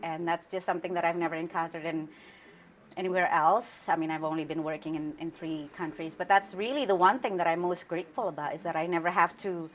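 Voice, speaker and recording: A woman's voice: fairly low pitch, steady tone, brisk, slight background noise. A woman in her forties from Central Java, whose first language is Javanese, speaking as an interview guest in a thoughtful way with fillers and restarts. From Central Java, Indonesia. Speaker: interview guest, news anchor. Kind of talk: conversational interview.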